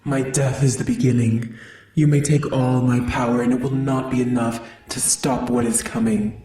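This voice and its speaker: Man acting a dying spider god, voice raised well above his own, dark, echoing, menacing, drawn-out taunts, audio drama villain. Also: mid-range voice, level tone, pitched above the performer's own voice, close to an everyday voice. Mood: gloating, ominous.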